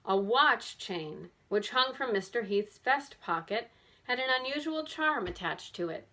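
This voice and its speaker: A woman's voice: fairly high pitch, animated, measured pace, sharp tone. A woman reading scripted narration from a children's mystery novel as an audiobook narrator.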